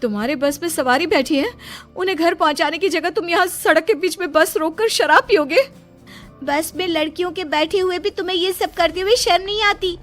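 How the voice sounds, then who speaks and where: Woman acting very high-pitched young female passenger. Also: a little fast, a bit bright, soft background music. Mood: indignant. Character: anxious, scolding young female bus passenger.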